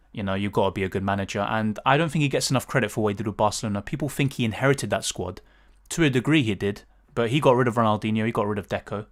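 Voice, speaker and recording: A man with a British accent, chatting casually on a livestream and answering viewer questions with lots of fillers. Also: lowish voice. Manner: chatty, thinks aloud with many fillers and restarts. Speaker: fantasy football streamer.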